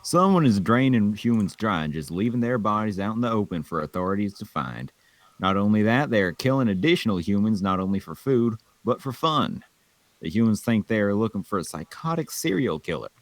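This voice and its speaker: A man reading the part of a stern vampire leader at a table read, with a grave, measured delivery. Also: fairly low pitch, lively intonation, no echo. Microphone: online voice call.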